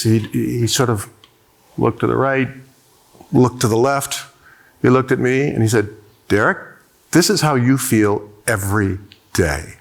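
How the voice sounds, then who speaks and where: A middle-aged man telling a personal story on a conference panel, earnest, with frequent hesitations. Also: mid-range voice. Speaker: panelist, diversity executive.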